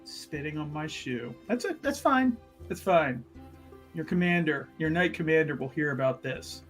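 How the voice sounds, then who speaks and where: Guy doing pompous huffy fantasy gentleman, theatrical, drawn-out delivery that swings around, music under it. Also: a little high, fairly even intonation, voice pushed down. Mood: wounded indignation. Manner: self-important, theatrical. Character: pompous, indignant, excitable male city gentleman.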